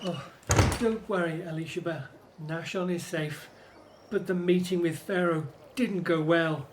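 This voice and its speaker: Actor as troubled, serious Hebrew brother. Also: male voice, on the high side, steady tone, measured pace, a little hiss or hum, natural voice. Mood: reassuring. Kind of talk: religious radio drama acting.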